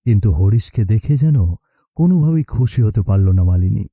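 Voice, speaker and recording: A man's voice: fairly low pitch, lively intonation, a little fast, dark tone, slightly rough, dry recording, studio quality. A man narrating a scripted horror story as a storyteller.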